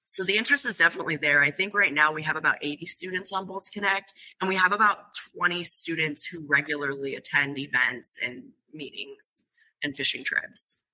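A woman's voice: deep voice, brisk, bright, forceful tone, low-quality recording. A young woman who founded a college fishing club, answering podcast interview questions earnestly, with frequent fillers and restarts.

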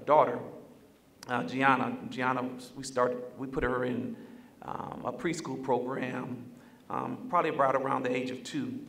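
A man's voice: medium pitch, vocal fry, a bit bright. A man in his forties speaking at a panel, telling a family story earnestly with frequent ums and uhs. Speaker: panelist, school district director. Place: large auditorium stage. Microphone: table microphone on stand. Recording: echoey auditorium.

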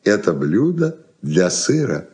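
An actor playing a plaintive, touchy, slightly doddering old uncle in a Soviet radio play, hesitant and repeating himself. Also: male voice, low-pitched, big pitch swings, slow, darkish tone, full character voice. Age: older.

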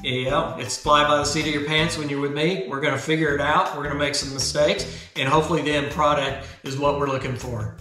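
A man in his fifties hosting a motorcycle vlog channel, explaining a helmet setup in a relaxed, chatty, self-deprecating way at a desk indoors. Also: on the high side, fairly quick, few pauses, echoey room, soft background music. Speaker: motovlog channel host. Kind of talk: casual gear tutorial. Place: indoor garage studio.

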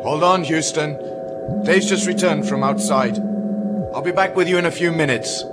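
An actor playing a grave, troubled moon base captain in a radio drama, with a deliberate, commanding manner. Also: male voice, fairly high pitch, very noisy, close to an everyday voice. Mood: businesslike, puzzled.

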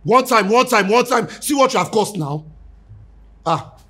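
A man's voice: high voice, sing-song, moderate pace. A man with a Nigerian accent acting as a placating boyfriend in a screen drama, stammering and repeating himself. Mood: flustered. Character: flustered, placating young boyfriend caught between two women. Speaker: actor in a relationship drama.

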